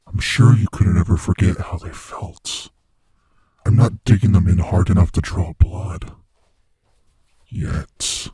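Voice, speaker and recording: A very deep, dark-voiced male monster from under the bed , acted by a man, speaking in a slow, heavily breathy rasp. He taunts and savors his prey with playful, sadistic menace, often dropping toward a whisper in a horror ASMR roleplay. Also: no echo, heavily acted. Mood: taunting, menacing.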